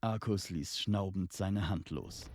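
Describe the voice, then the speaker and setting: A man narrating a fantasy tale in a suspenseful, measured storytelling manner. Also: low-pitched, a little mellow, slight background noise. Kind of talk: dramatic scripted fantasy narration.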